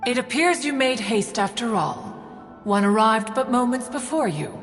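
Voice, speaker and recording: An actress playing a proud, ancient adeptus in a video game, speaking in a stately, formal, imperious way. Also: female voice, a little high, expressive, moderate pace. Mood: prim. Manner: stately, imperious, formal, calling herself "one". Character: haughty, proud ancient female adeptus, archaic formal speech. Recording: long reverb, music behind.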